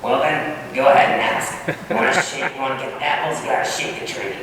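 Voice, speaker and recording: A man's voice: medium pitch, brisk, a bit bright, natural voice. Man acting folksy smitten old custodian, distant echoey hall. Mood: folksy, eager. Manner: folksy, rustic turns of phrase. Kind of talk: 1940s-style radio comedy acting. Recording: echoey hall from far off, faint noise.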